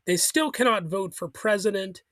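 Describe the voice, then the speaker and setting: A man's voice: high voice, normal speed, fairly bright tone, no room sound. A man hosting a solo political commentary show, arguing critically, with chuckles and small restarts.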